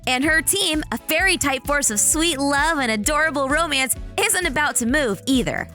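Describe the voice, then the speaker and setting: Bright high-voiced woman narrating dramatically. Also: normal speed, soft background music. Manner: dramatic, animated storytelling delivery. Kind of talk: scripted video narration.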